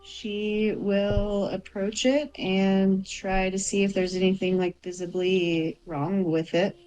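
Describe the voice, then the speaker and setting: A woman's voice: mid-range voice, flat delivery, slow pace, darkish tone, clear, resonant tone, low-quality recording. A woman playing a tabletop game, casually narrating and speaking for her character. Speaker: player. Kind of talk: improvised roleplay narration, casual.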